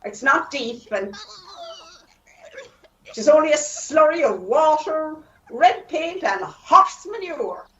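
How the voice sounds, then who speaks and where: A wry Irish woman in a comic radio play, acted by a woman, heard at a distance in a roomy space. Her high voice is lively and unhurried, with a gleeful streak. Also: a little hiss or hum, heavily acted. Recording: muffled, roomy, distant microphone.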